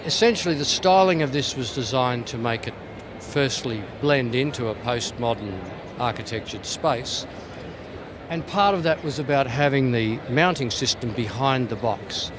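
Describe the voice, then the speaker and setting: A man's voice: medium pitch, normal speed, noisy background. A man giving an informal, playful product demonstration as a company representative at a trade show. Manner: tongue-in-cheek, playful.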